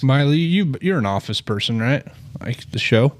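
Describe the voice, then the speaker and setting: A man chatting on a podcast with a lively, swooping voice that climbs and drops a lot. He keeps cracking jokes and laughing, and the talk stays loose and casual. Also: mid-range voice, normal speed. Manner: joking, laughs often. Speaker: podcast co-host. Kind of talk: casual chat.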